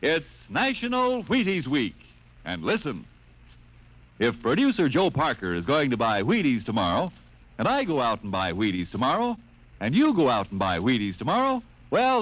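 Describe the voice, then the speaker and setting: A man's voice: medium pitch, a bit bright. A man reading a folksy, chummy commercial as a 1950 radio announcer. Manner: folksy, chummy salesman patter. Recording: dull lo-fi 1950 radio transcription.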